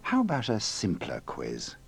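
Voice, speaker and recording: A man presenting a compilation of radio mistakes, with wry, deadpan linking remarks. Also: on the low side, moderate pace, creaky, breathy edge, no echo. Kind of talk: dry linking commentary.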